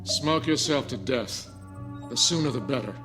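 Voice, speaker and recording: An actor in an audio drama playing a cold, authoritarian scientist in charge of a lab. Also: male voice, mid-range voice, animated, normal speed, music in the background, close to an everyday voice. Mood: cold, contemptuous. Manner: stern, authoritarian.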